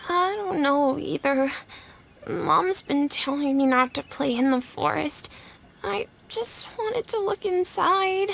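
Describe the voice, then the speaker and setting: A woman's voice: high-pitched, expressive, takes it slowly, gravelly, a bit bright, full character voice. A woman voicing a sick, frightened, melodramatic young child in a video game. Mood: sheepish, weak.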